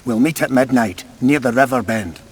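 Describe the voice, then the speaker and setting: A man's voice: medium pitch, normal speed, breathy edge, natural voice. An actor playing a wary, defiant male rebel fighter in a video game, suspicious and commanding.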